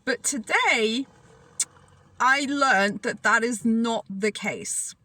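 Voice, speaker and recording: A young woman born in the UK, telling a story to camera in a chatty, animated way with lots of fillers. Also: on the high side, takes it slowly, dry recording. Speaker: vlogger.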